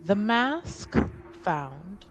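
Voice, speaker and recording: A woman voicing a weary, formal noblewoman in a tabletop game, with a measured, guarded and exasperated manner. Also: medium pitch, big pitch swings, a little hiss or hum, voice pushed up. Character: weary, formal noblewoman acting for her house.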